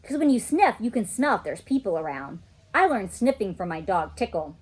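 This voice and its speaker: A woman reading a children's storybook as an excitable, mischievous little girl who chats emphatically about her own adventures. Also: medium pitch, moderate pace, breathy edge, low-quality recording. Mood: cheeky, matter-of-fact. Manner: childlike, emphatic, chatty storytelling with kid grammar. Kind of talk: children's storybook read-aloud.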